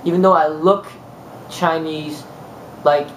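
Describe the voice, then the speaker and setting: Martial arts teacher, man, slightly high voice, loose rambling talk, roomy sound. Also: steady tone, slow, slight background noise. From United States. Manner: rambling, many fillers and restarts. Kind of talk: casual monologue.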